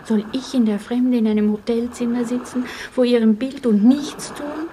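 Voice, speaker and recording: A woman's voice: a little high, fairly even intonation, moderate pace, warm tone, a little hiss or hum, lightly acted. A woman playing a devoted, resolute woman in wartime, speaking earnestly and solemnly in a German radio play. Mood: solemn resolve. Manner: earnest, declamatory.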